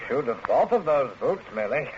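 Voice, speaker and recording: A man's voice: mid-range voice, very animated, normal speed, natural voice. An actor playing a callous, flippant young man with a mocking drawl in 1940s radio thriller style. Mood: sardonic. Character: sneering, callous grown son, flippant and mocking. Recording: noisy muffled 1940s radio recording.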